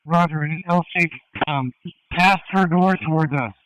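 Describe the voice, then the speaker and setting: A man working as a tactical police officer, speaking in measured, procedural phrases over police radio. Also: fairly high pitch, fairly bright tone, smooth, no room sound, poor audio.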